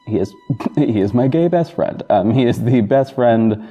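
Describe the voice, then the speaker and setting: A man hosting a casual, unscripted podcast update, talking in a rambling, halting and effusive way. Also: mid-range voice, lively intonation, warm tone, husky. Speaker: podcast host and audio drama creator. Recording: dull muffled sound with music bed. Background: backing music.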